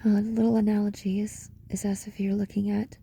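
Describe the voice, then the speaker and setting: A woman leading a guided meditation in a reflective monologue, speaking in short, paused phrases with a breathy voice that often slips into a whisper. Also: medium pitch, flat delivery, mellow, a little hiss or hum. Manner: slow, pausing between short phrases.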